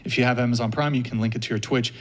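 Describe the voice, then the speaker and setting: A man hosting a podcast, running through show announcements briskly with deadpan jokes. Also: mid-range voice. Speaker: podcast host. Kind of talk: rapid-fire show announcements.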